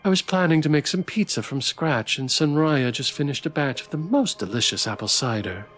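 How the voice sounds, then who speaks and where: A man voicing a bubbly, excitable young woman in a visual novel, friendly, playful and gushing. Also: a little high, fairly quick, soft background music, pitched above the performer's own voice. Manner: gushing, exclamatory.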